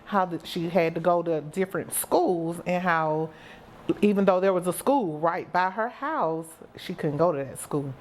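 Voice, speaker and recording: A woman speaking casually and haltingly, unscripted, as she recalls things. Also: on the low side, a little mellow. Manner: hesitant, halting. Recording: faint background noise and ambience.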